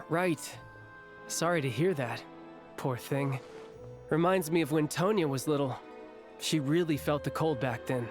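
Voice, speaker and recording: A man's voice: a little high, natural voice. A man voicing an easygoing young warrior turned diplomat in an anime game, breezy, sincere and reassuring. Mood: caring, light. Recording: music behind the voice.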